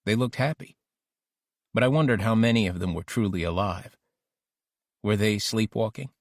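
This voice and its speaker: A man's voice: fairly low pitch, moderate pace, dry recording, studio quality, lightly acted. A man narrating an audiobook diary as an ambitious, lovesick teenage boy, introspective and intense. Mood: brooding. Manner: introspective, self-questioning, intense.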